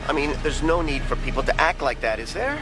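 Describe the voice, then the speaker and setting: An actor playing a polite but sceptical, teasing young backpacker in a radio play. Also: male voice, on the high side, animated, brisk, natural voice. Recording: loud music under the voice.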